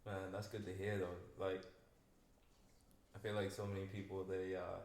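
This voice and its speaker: A man's voice: low voice, flat delivery, moderate pace, darkish tone. A young man hosting a podcast, chatting in a laid-back, rambling way and filling his talk with "like.". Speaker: podcast host. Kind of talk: casual podcast chat. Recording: roomy room with faint noise.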